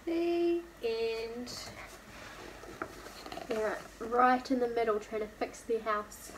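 A woman in her twenties reading a picture book aloud to young children, expressive and asking listeners questions. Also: on the high side, slow. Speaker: preschool storytime reader. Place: indoor room, seated beside a whiteboard. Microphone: camera a few feet away. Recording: distant camera mic, faint room noise.

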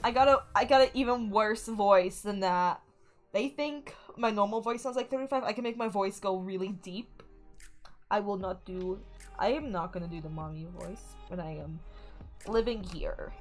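A woman's voice: medium pitch, moderate pace, faint music behind. A young woman streaming a game, chatting casually and bubbly with her viewers. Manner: bubbly, chatty, talks to chat. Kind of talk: casual gaming stream chat.

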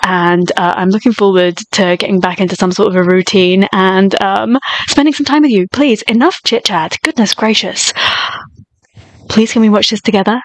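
A woman's voice: medium pitch, normal speed. A young woman reacting to videos in a casual vlog, a little nasal from a cold, gushing and laughing often. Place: small room indoors.

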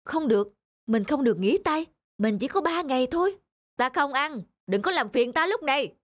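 Greedy, frantic old miser. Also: male voice, very high-pitched, normal speed, dry recording. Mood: irritated, frantic. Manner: muttering to himself, exclaiming excitedly. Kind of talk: animated folktale cartoon acting. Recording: music under the voice.

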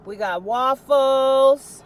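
A woman's voice: high-pitched, very slow. A middle-aged woman reviewing food in a casual, enthusiastic chat inside a parked car at night, filmed by a dashboard camera. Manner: upbeat, enthusiastic. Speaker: food vlogger. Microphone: camera mounted on the dashboard.

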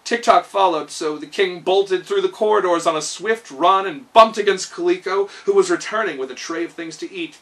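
A man's voice: high voice, normal speed, few pauses, fairly bright tone, far from the mic. A man in his thirties reading a children's story aloud with expression. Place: small living room, indoors.

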